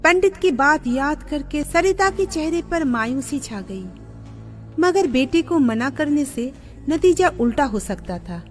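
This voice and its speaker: A woman narrating a scripted moral story in an expressive storybook delivery. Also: high-pitched, measured pace. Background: background music.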